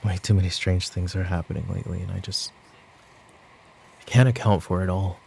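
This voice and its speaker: A man playing a tired, guilt-ridden mafia boss and worried father in a roleplay ASMR audio drama, brooding, with sighs and pauses. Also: sits low, steady tone, vocal fry, warm tone, slight background noise. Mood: worried, pleading.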